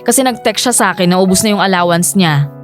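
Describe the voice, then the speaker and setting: A woman's voice: mid-range voice, quick pace, faint music behind, close to an everyday voice. A woman playing a weary, dutiful young daughter in a Filipino radio drama, respectful but exasperated and pleading. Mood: worried, protesting. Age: young adult.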